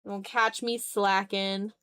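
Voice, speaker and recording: Young female art streamer, mid-pitched, casual and slangy, animated, self-deprecating chatter. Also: unhurried, clean tone. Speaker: art livestreamer. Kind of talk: casual livestream chat while drawing.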